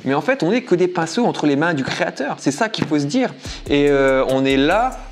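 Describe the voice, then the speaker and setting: A man presenting a casual vlog, talking in a relaxed way with frequent hesitations. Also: on the high side, moderate pace, faint music behind. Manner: relaxed, many fillers and restarts. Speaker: youtuber.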